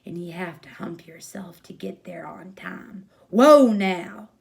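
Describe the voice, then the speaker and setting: A woman's voice: fairly low pitch, animated, takes it slowly, gravelly, exaggerated voice. Lady doing a bossy little boy from a storybook, playing scout, demanding and folksy. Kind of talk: storybook character reading.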